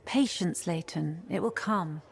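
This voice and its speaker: A woman's voice: lowish voice, a little slow, gravelly, breathy edge, exaggerated voice. An actress playing a direct, devoted woman in a video game cutscene. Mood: earnest.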